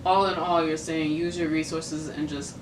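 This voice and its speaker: A woman's voice: low voice, level tone, normal speed, distant mic. A woman hosting a casual interview in an informal, encouraging way. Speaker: interviewer, video host. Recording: roomy, off-mic, noisy ambience.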